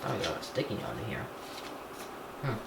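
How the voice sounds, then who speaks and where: Male hobbyist vlogger, unscripted and halting, half talking to himself, roomy sound with noticeable background noise. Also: fairly low pitch, sing-song. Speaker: hobbyist vlogger unboxing gadgets. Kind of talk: casual unscripted chat while handling a device. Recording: echoey room, clear background noise.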